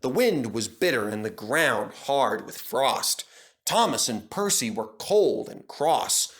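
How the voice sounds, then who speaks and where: Animated male children's storyteller. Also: mid-range voice, takes it slowly. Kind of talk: scripted children's story narration.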